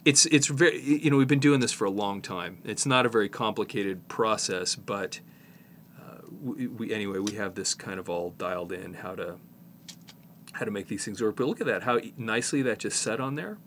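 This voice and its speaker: A man in his fifties with a fairly low voice and a slight head cold talks through a hands-on job. He is informal and halting, explaining as he works, with noticeable background noise around him. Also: fairly bright tone. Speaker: presenter, tape repair technician. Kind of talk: casual hands-on tutorial.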